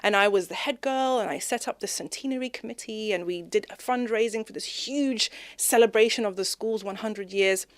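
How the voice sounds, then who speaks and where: A woman from Zimbabwe, a podcast guest talking expressively in a conversational interview, speeding up and rising in pitch when animated. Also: moderate pace, few pauses, no room sound.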